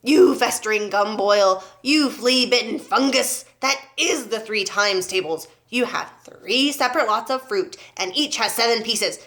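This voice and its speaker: A woman's voice: fairly high pitch, lively intonation, normal speed, few pauses. Woman voicing a furious, bullying storybook headmistress. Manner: shouting, barking insults at pupils. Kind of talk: children's storybook read aloud.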